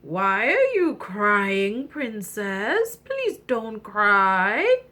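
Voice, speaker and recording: A woman voicing a lonely, kindly male storybook frog who croaks and draws out his words. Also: mid-range voice, very animated, sharp tone, pitched below the performer's own voice, full character voice. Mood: gentle, consoling. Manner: croaks "ribbit", slow drawn-out words.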